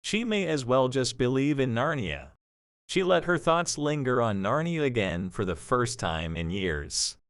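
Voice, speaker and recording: Expressive male storyteller with lively intonation. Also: medium pitch, unhurried, no room sound, clean studio sound. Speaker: narrator. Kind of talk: scripted storybook narration for audio drama.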